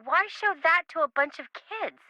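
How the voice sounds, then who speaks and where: An actress playing a frank, chatty young friend with a casual, blunt manner in an adventure game. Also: female voice, very high-pitched, expressive, moderate pace, very noisy. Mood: puzzled. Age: young adult. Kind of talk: scripted adventure game dialogue.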